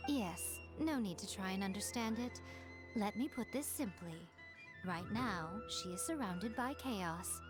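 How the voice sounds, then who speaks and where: An actress voicing a sly, poised shrine priestess with a teasing, arch manner in a video game cutscene. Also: female voice, medium pitch, animated, a little slow, music in the background, close to an everyday voice. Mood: explaining.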